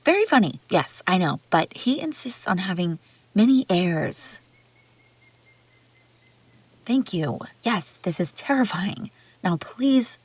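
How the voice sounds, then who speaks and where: A woman's voice: medium pitch, sing-song, slow pace, long pauses, breathy edge, no echo, heavily acted. A woman playing a haughty, bratty young runaway princess in a roleplay audio drama, sarcastic and bickering with the listener. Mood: irritated, defensive.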